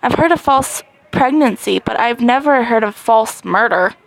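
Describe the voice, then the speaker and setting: A woman reading a story game aloud, in an excitable, dramatic way with squeaky rises. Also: normal speed, creaky, rough recording. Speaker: gaming channel host.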